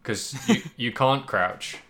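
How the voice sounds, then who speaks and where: A man's voice: mid-range voice, slow pace, pushed and bright. A man co-hosting a podcast, talking casually about video games with wry, emphatic opinions. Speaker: podcast co-host. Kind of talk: casual video game discussion.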